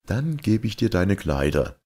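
A man's voice: lowish voice, sing-song, measured pace, darkish tone, pitched above the performer's own voice. A man voicing a poor young cowherd in a fairy tale, bold, curious and eager. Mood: bold, teasing.

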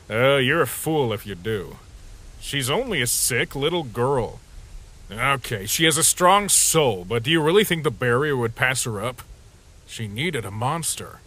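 A man voicing a brash, sarcastic younger skeleton brother with a husky voice in a comic dub, cocky and grumbling. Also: mid-range voice, animated, measured pace, pushed and bright.

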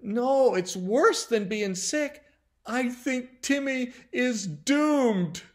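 Children's story big sister, acted by a man: young, high, expressive, protective, earnest and upset. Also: drawn-out words, heavily acted. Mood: distraught. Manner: earnest, distressed. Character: worried, protective young girl, an older sister.

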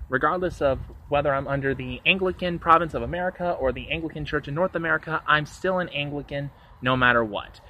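A man's voice: medium pitch, pushed and bright, poor audio. A man in his thirties from Florida, vlogging outdoors on a phone at arm's length, talking in an earnest, rambling way and correcting himself as he goes. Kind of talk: casual vlog monologue. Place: outdoors by a church on a sunny day. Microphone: phone held at arm's length. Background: faint traffic and outdoor ambience.

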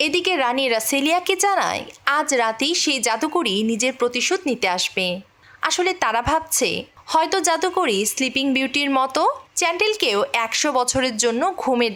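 Female recap narrator, very high voice, animated intonation, scripted storytelling read.

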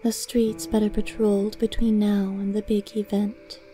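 Lady doing a sly cat lady from a storybook, high coy voice, music behind. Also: level tone, moderate pace, dark tone, lightly acted. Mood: coy, composed. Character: Greymalk, sly, poised female cat familiar.

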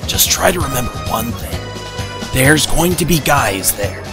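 Man playing a lively, sensible hunter. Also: mid-range voice, a little slow, lightly acted. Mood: earnest. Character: sensible, supportive male regent hunter. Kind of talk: amateur cartoon audio drama acting. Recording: music laid under the voice.

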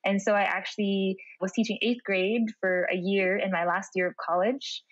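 A woman's voice: mid-range voice, flat delivery, moderate pace, no echo. An upbeat young woman talks as a podcast guest, filling her sentences with a casual "like". Her voice is dry and close, with a slightly dull, lo-fi edge from remote call audio. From Philippines. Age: young adult. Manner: upbeat, chatty, frequent "like". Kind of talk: casual interview chat.

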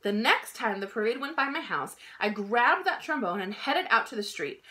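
A woman reads a picture book aloud for children. Her voice is bright and on the high side, with lively, swooping intonation. She tells the story expressively, leaning on key words. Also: normal speed. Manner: expressive, emphatic delivery for children. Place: small indoor room at home.

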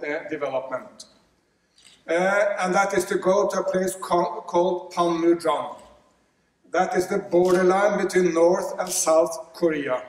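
A middle-aged man with a Norwegian accent speaks at a podium microphone, his voice sitting a touch high for a man. He talks earnestly, often restarting with fillers as he builds his point. The sound carries a long, roomy reverb, heard from some distance in a large hall. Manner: gesturing broadly, earnest, with frequent fillers and restarts. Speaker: keynote speaker. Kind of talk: conference keynote speech. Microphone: podium microphone, filmed from afar. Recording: echoey hall from far off.

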